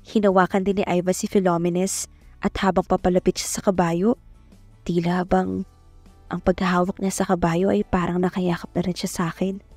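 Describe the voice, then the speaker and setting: Shy young Filipina in love. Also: female voice, lowish voice, little pitch movement, moderate pace, darkish tone, no echo. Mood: tender awe. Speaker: narrator and lead actress. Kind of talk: first-person romantic audio drama. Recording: music bed behind voice.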